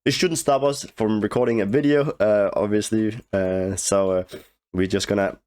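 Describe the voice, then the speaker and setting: Hoarse, congested man guiding a game. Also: lowish voice, fairly even intonation, a little mellow. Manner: halting, many fillers, clears throat. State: hoarse with a cold, blocked nose. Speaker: game guide creator. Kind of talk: casual gameplay walkthrough commentary.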